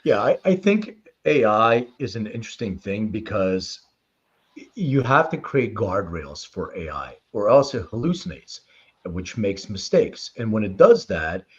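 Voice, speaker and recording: A man's voice: on the low side, big pitch swings, a little slow, lo-fi sound. A man from Lithuania, raised in Philadelphia, speaking as an interview guest in an informal, explanatory, anecdotal way. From born in Lithuania, raised in Philadelphia from age six. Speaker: interview guest, precision medicine company leader. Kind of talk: conversational interview answers and personal storytelling.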